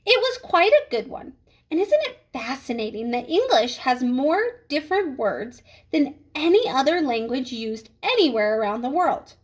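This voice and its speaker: A strict woman schoolteacher from a children's storybook, played by a woman reader. She speaks in a high, lively voice, measured and polite, with controlled authority. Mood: pointed praise. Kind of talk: children's storybook read-aloud.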